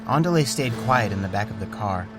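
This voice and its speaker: A man narrating a scripted story in a gentle, wondering way. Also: on the low side, animated, brisk, over music.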